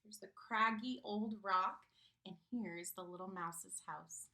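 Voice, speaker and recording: A woman reading a children's picture book aloud in an expressive, theatrical manner, engaging young listeners. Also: mid-range voice, takes it slowly.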